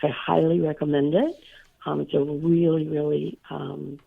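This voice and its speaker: Hoarse woman co-host calling in by phone; gravelly, raspy, casual and filler-heavy. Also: sits low, little pitch movement, unhurried. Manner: chatty, many fillers. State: hoarse, recovering from illness. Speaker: radio co-host. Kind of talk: casual radio chat. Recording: tinny phone line.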